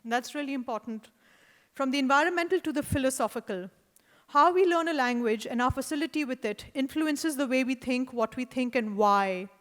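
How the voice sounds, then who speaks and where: A woman with an Indian accent, an artist reading a prepared lecture in a measured, deliberate way, with wry asides and hesitant fillers. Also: high-pitched, fairly bright tone. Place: lecture hall at an art event. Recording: long hall reverb.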